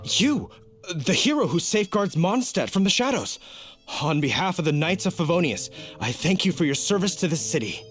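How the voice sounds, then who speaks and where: An actor playing an earnest, courteous young knight on guard duty in a video game. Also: male voice, a little high, sing-song, normal speed, a bit bright, soft background music. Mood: awed. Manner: polite, earnest.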